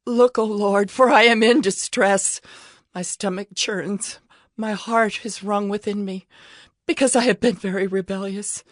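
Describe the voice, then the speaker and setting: A woman's voice: medium pitch, fairly even intonation, measured pace, no room sound, clean studio sound, close to an everyday voice. A woman playing a ravaged city personified as a grieving, humbled woman, in an anguished, pleading lament for a dramatized scripture reading. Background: music behind the voice.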